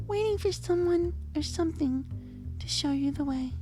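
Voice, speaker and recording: A shy, gentle mare played by a woman speaks in an extremely high, mellow voice. Her delivery is soft and measured, like poetry in a story reading, with faint music behind her. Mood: dreamy recital. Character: shy, gentle female pony reciting beat poetry. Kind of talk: fan fiction audio drama reading.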